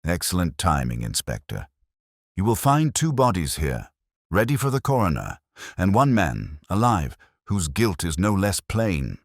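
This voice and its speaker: A man voicing a coolly analytical gentleman detective in an audiobook, stern, composed and precise. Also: deep voice, very animated, measured pace, no room sound, studio quality, voice pushed up, full character voice. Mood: brisk, composed.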